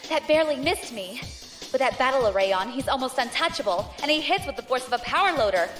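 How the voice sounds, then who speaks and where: A woman's voice: sits high, lively intonation, fast. A woman playing a brash female space pirate captain, defiant and cocky. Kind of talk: audio drama acting. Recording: music score underneath.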